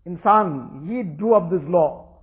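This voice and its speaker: A man's voice: sits high, animated, unhurried. A man whose first language is Urdu, giving an earnest religious talk and repeating phrases for emphasis. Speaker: religious teacher. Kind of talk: Islamic talk. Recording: tinny phone-like recording.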